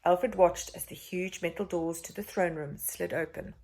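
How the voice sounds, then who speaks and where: A woman reading a children's book aloud as an expressive story narrator. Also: on the low side, normal speed.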